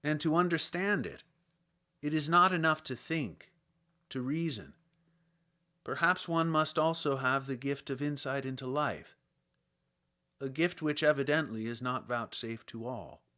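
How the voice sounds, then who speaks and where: A man narrates a literary audiobook at a slow pace. His voice sits at a middling pitch, and his delivery is measured and dramatic, like careful storytelling. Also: pushed and bright. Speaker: audiobook reader.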